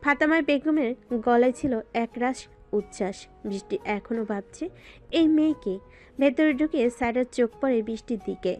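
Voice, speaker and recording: High-voiced woman narrating romance, music behind. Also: normal speed, a little mellow. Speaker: story narrator. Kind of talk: dramatic scripted romance narration.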